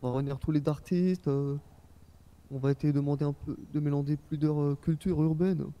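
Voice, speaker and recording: A man's voice: on the high side, steady tone, a little fast, dull audio, lightly acted. A man playing a young, easygoing Japanese-born rap label boss in a game, talking in informal, rambling slang. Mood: enthusiastic. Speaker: role-player. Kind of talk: casual in-character game chat.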